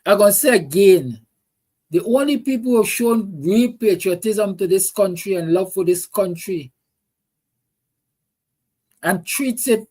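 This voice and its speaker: A man's voice: high-pitched, measured pace, pauses often, darkish tone, breathy edge. A man with a Guyanese accent hosting a talk show, delivering an emphatic political monologue full of repetition and rhetorical questions.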